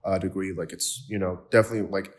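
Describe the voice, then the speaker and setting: A man from Nigeria hosts a casual podcast chat in a fairly low voice. He talks eagerly, with plenty of "like" fillers and repeated false starts as he builds his point. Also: steady tone, fast. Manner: enthusiastic, many "like" fillers and restarts. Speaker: podcast host.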